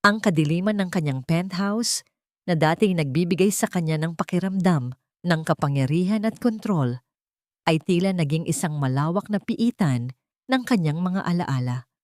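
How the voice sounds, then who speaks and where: A woman's voice: lowish voice, expressive, normal speed, dry recording, clean studio sound. A woman storyteller reading a scripted, dramatic narration.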